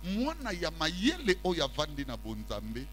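Lively high-pitched preacher. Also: male voice, unhurried, faint music behind. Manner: call-and-response preaching, mixing French and Lingala; walks about the stage while preaching. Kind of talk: sermon. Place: large church hall with congregation. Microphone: handheld mic.

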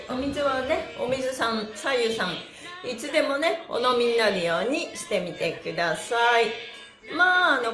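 A high-voiced middle-aged woman teaches a stretching class in a cheerful, encouraging way. She calls out moves and chants in a sing-song while exercising. The room is echoey, with music playing behind her. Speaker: stretching instructor, pantomime performer. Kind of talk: live-streamed exercise class with counted chants. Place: small bare-walled indoor studio. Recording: roomy echo with music behind.

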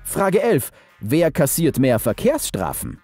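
A man's voice: fairly high pitch, huge pitch swings, takes it slowly, mellow, soft background music. A man off camera who reads out numbered quiz questions in an announcing tone.

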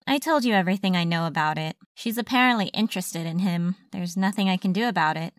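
A woman's voice: lowish voice, fast, no echo, studio quality, natural voice. A woman voicing a sensible, candid fox assistant in a comic dub, matter-of-fact and reasoning. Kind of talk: fan comic dub voice acting.

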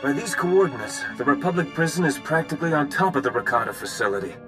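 A man playing a thoughtful sci-fi crew companion in a video game, deliberate and explanatory. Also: a little high, animated, natural voice. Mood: pensive. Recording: music clearly under the voice.

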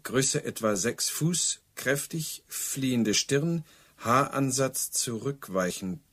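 An actor in a German radio play playing a dutiful, literal-minded police sergeant, clipped, deferential and matter-of-fact. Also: male voice, mid-range voice, lively intonation, slow, a bit bright, husky, exaggerated voice.

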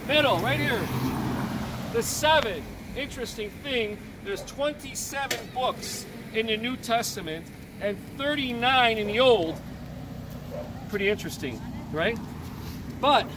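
A man's voice: extremely high pitch, unhurried, pauses often. A man in his fifties from Chicago, doing a card trick on a residential street and chatting with the onlookers he quizzes as he counts cards aloud. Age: 50s. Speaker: street magician and preacher. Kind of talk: outdoor card trick demonstration with banter. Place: residential street outdoors, kneeling on the sidewalk. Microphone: camera mic from a few meters away. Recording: distant camera mic, loud street noise.

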